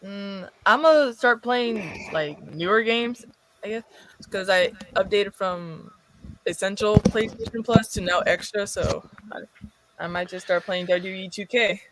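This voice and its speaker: A woman on a podcast panel, speaking softly and hesitantly in casual chat. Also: mid-range voice, unhurried, slight background noise, low-quality recording.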